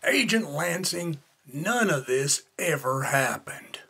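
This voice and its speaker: A man's voice: on the high side, expressive, takes it slowly, gravelly, very noisy, full character voice. A man playing a smug, duplicitous colonel in a radio drama, dry and condescending. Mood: dismissive. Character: smug duplicitous male colonel, secret double agent. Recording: music behind the voice.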